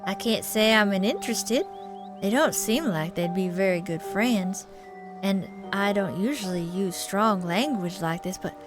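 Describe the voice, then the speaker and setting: A woman voicing a mild, earnest male pastor in a visual novel, gentle, wordy and prim, with music behind. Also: medium pitch, a little slow, close to an everyday voice. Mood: squeamish, polite. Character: mild, earnest male small-town pastor, fretful and devout.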